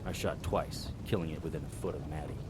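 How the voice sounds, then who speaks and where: A man voicing a hard-boiled 1920s private eye, narrating in a laconic, deadpan noir style. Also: very deep voice, fast, background noise. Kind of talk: first-person noir narration.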